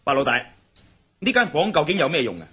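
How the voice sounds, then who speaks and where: An actor with a Hong Kong Cantonese accent playing a calm, clever adventurer in a radio drama, speaking in a measured, reasoning way. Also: male voice, on the high side, husky, full character voice.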